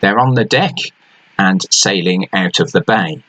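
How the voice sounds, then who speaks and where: A man's voice: sits low, a little slow, fairly bright tone, poor audio. A man, an author earnestly introducing his own novel and reading from it.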